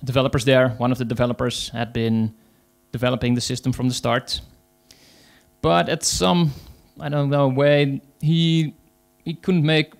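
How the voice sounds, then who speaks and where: A man's voice: medium pitch, level tone, moderate pace, vocal fry. A man with a Dutch accent, speaking English as a second language, gives a conference talk as an agile coach, hesitant, with many fillers. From Netherlands.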